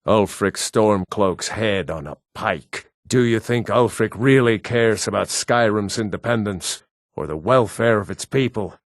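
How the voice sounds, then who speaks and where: An actor playing a proud, stern Nord man in a fantasy video game, with a measured, weighty delivery. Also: fairly low pitch, no echo, studio quality, lightly acted. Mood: contemptuous.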